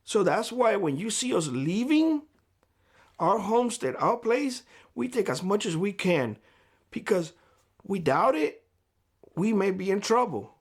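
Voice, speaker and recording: A man speaking straight to camera in a vlog, giving blunt, lecturing advice in a hoarse voice. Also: sits high, very animated, unhurried. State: hoarse, losing his voice. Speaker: prepper YouTuber. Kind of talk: direct-to-camera vlog monologue. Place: homestead.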